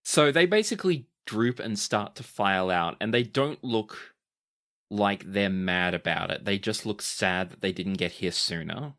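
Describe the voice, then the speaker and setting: A man narrating a tabletop role-playing game as game master, describing scenes and calling for dice rolls. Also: unhurried. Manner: lively, shifting pitch as he narrates.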